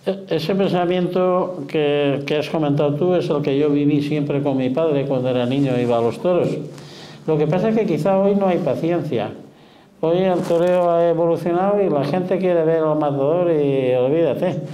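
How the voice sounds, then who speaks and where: A middle-aged man moderating a talk as club president, speaking in a measured, deliberate way. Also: a little high, dark tone, low-quality recording. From Spain.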